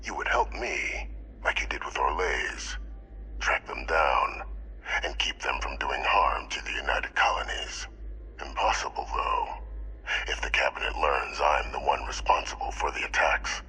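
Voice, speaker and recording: An actor playing a smooth, scheming older male villain in a video game, speaking formally and persuasively with breathy phrase endings. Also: extremely low pitch, measured pace, bright, forceful tone, whispered, exaggerated voice, low-quality recording. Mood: measured, veiled menace. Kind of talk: video game character dialogue.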